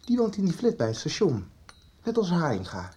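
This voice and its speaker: An actor in a Dutch radio soap playing an earnest, somewhat halting teenage schoolboy. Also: male voice, fairly high pitch, wildly swooping pitch, warm tone, slightly rough, full character voice. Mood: earnest, puzzled. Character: earnest teenage schoolboy searching for his sister.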